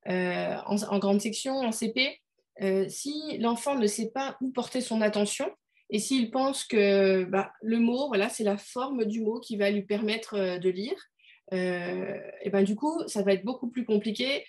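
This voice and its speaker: A woman's voice: medium pitch, measured pace, darkish tone. Hesitant woman leading an online workshop. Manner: hesitant, many fillers, conversational. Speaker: workshop leader.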